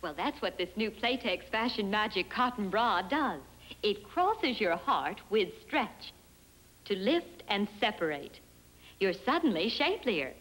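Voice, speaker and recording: Lively woman pitching in a 1960s advert. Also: measured pace, rough recording. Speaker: commercial presenter.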